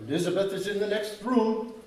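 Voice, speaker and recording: An actor playing a grave, admonishing older professor in a solemn, emphatic, theatrical old-time radio horror style. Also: male voice, high-pitched, steady tone, brisk, darkish tone, natural voice. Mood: grave, guarded. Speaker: actor in a live radio drama. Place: live stage in a community hall. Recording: echoey hall, distant mic, faint noise.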